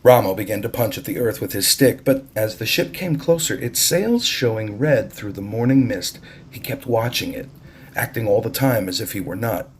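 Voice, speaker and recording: A man's voice: lowish voice, lively intonation, moderate pace, a little hiss or hum. A man reading a story aloud as an audiobook-style narrator. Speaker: audiobook reader.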